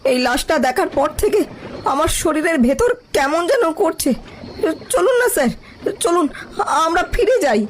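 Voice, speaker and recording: Frightened man, acted by a man, whimpering, begging, near tears, high pitch, cartoon horror. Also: sing-song, normal speed, slight background noise. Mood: panicked pleading. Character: terrified, whimpering man begging his boss to turn back.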